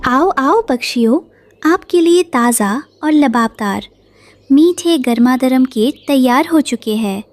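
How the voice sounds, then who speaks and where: A woman's voice: high voice, expressive, takes it slowly. A woman voicing a cheerful, motherly bird who runs a bakery. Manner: warm, motherly, welcoming.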